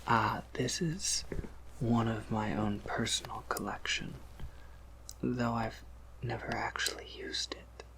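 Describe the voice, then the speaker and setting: A man voicing a gentle, wry vampire apothecary in a breathy ASMR whisper, musing softly and reassuringly. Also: medium pitch, little pitch movement, takes it slowly, low-quality recording. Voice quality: breathy whisper with creaky edges. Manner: gentle, reassuring, hesitant musing with soft hums. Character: soft-spoken, patient, wry male vampire apothecary, collector of oddities.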